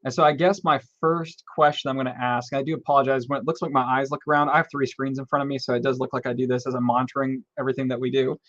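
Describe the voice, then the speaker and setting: A man's voice: medium pitch, level tone, very fast, hardly any pauses, a bit bright, no echo. Man hosting a live panel call. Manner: loose, rambling, friendly asides. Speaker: host of a live panel stream. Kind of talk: casual moderating. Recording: muffled lo-fi, very dry.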